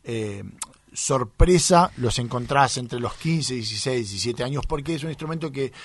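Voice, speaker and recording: A man's voice: mid-range voice, moderate pace, slightly rough. A man with a Buenos Aires area Argentine accent, answering interview questions in an earnest, explanatory, conversational way. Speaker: guest, professional tuba player.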